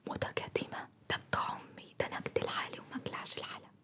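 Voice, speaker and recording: A woman voicing a chatty, homesick Upper Egyptian beautician in a breathy whisper, with a Sa'idi accent and effusive, repetitive grumbles and endearments, as an ASMR roleplay. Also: very fast, lightly acted. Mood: indignant, disdainful. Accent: Upper Egyptian (Sa'idi) Arabic.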